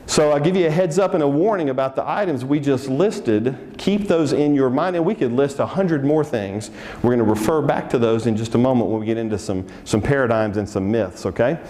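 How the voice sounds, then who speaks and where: A man's voice: mid-range voice, lively intonation, brisk, hardly any pauses, mellow, big-room sound. A man with a Southern Texan accent giving an interactive business seminar, coaxing the audience to answer and cracking jokes. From Texas. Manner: upbeat, coaxing the audience, joking. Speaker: seminar speaker.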